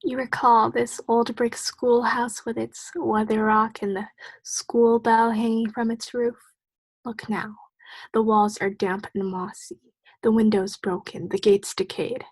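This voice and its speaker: A breathy, half-whispered voice, slightly high and close and dry: a woman as a gentle spirit guide in a radio play. The delivery is solemn, calm and otherworldly. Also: dry recording, rough recording. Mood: gently probing. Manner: slow, solemn guiding.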